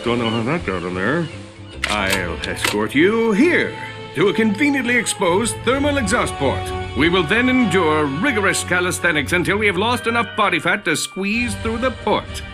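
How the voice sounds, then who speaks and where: An actor playing a pompous, vain, bumbling space adventurer in a video game cutscene, theatrical and self-important. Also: male voice, high-pitched, sing-song, moderate pace, music in the background.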